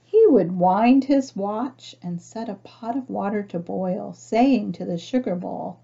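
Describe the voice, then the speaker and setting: A woman reads a picture book aloud to young children, pausing to explain things simply and ask the listener questions. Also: mid-range voice, gravelly, mellow, husky, highs cut off. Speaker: storybook reader for young children.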